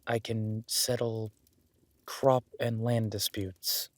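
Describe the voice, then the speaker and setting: A man playing a young werewolf duke in an intimate audio roleplay, modest and earnest, speaking informally and haltingly as if unsure of himself. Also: mid-range voice, no room sound, close to an everyday voice. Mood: self-deprecating, reassuring. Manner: hesitant, self-deprecating, informal, halting.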